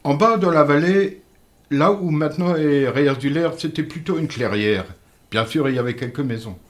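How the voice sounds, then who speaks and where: An older man, a native dialect speaker, telling village history in a radio interview in an earnest, measured way. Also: a little high. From Moselle, France. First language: Alsatian-Lorraine Franconian dialect. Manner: earnest, measured storyteller with halting restarts. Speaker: interview guest. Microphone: studio microphone.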